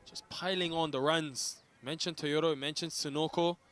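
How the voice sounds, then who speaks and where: A man's voice: a little high, steady tone, moderate pace, a bit bright. A man calling a cricket match as play-by-play commentator, rising with the big hits.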